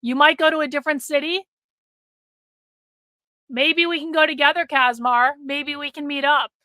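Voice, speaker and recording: Middle-aged woman streaming to chat, high bright voice, going hoarse, swears a lot, dry close sound. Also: fairly even intonation, normal speed, no room sound. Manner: blunt, swears freely, repeats herself for emphasis. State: losing her voice. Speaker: livestream host. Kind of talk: casual livestream talk to chat.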